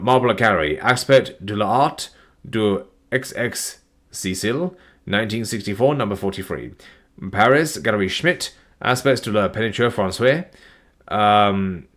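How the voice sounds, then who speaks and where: A man's voice: on the low side, unhurried. Weary man reading file lists. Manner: flat, plodding reading of numbers with weary asides. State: tired, voice starting to go. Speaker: document narrator. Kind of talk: live-streamed reading of file listings.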